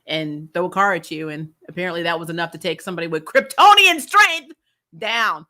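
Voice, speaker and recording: A woman's voice: fairly low pitch, lively intonation, a little fast, bright, forceful tone. A woman giving a casual, opinionated TV recap as a host, blunt, sweary and exasperated, stressing points by repeating herself. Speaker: host of a TV show recap channel. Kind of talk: casual opinionated review chat.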